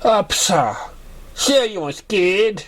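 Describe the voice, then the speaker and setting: Playful, mocking young pegasus stallion for a fan story, played by a man, raised pitch. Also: animated, slow pace, fairly bright tone, heavily acted. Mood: teasing. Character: teasing, cocky young male pegasus pony friend.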